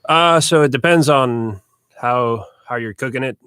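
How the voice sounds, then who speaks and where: A man's voice: mid-range voice, measured pace, a bit bright, clear, resonant tone, no room sound. A man who owns a restaurant, a guest on a local radio show, answering casually and easily. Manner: easygoing, short agreeing replies. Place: small local radio studio.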